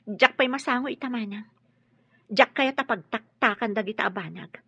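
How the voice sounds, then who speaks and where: Assertive young wife and loving daughter, radio drama, very high, breathy, forward bright tone, dry. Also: female voice, moderate pace, no echo, muffled recording, heavily acted. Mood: brisk, confident. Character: assertive, well-off married daughter, affectionate with her father. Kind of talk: Filipino radio drama acting.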